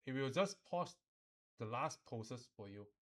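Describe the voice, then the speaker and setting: A man teaching software informally, code-switching and often checking that students follow. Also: mid-range voice, lively intonation, a little slow. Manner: instructional, often checks understanding, uses particles like lah. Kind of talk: informal software tutorial mixing English and Mandarin.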